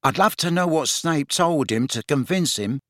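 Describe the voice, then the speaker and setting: A man voicing a hushed, doubtful young witch in an audiobook. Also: a little high, animated, normal speed, no echo, studio quality, pitched above the performer's own voice. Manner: whispering.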